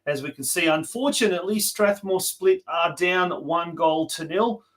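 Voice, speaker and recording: Higher-voiced Australian man hosting. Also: normal speed, fairly bright tone. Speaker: host of a sports community TV show. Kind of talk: live show presenting.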